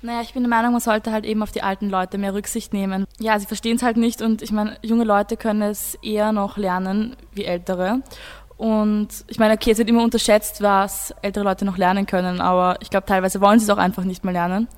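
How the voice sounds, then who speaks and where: A woman's voice: medium pitch, flat delivery, a little fast. Teenage Austrian girl, long hall reverb. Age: teens. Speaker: student radio host and panelist. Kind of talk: informal radio discussion.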